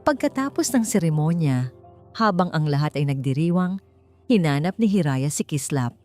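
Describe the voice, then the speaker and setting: Low-voiced woman narrating a story over music. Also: expressive, normal speed, darkish tone. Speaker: audiobook narrator. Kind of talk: scripted dramatic story narration. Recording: music bed under the voice. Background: background music.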